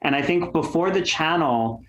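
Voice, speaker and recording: A man's voice: a little high, moderate pace, fairly bright tone, echoey room, rough recording. A man talking candidly as a guest on a podcast, chatty and full of fillers. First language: English. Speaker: guest YouTuber. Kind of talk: casual podcast chat.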